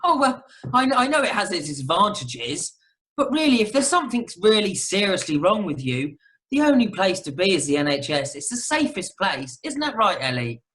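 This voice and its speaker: A woman's voice: medium pitch, very animated, normal speed, a bit bright. A woman playing an eager, well-spoken newlywed husband in an amateur radio comedy. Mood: insistent.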